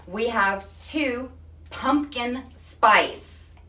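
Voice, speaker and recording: A woman's voice: on the high side, very slow, sharp tone. Texan lady hosting a live stream, folksy and chatty, voice kinda far off in an echoey room. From Texas. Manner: chatty and folksy, says honey and y'all. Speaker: host. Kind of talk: casual live-stream chat.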